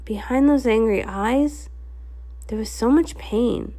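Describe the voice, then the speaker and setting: A woman reading a comic aloud as expressive first-person storybook narration. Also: on the high side, slow. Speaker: storybook reader. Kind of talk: expressive scripted narration, comic read-along.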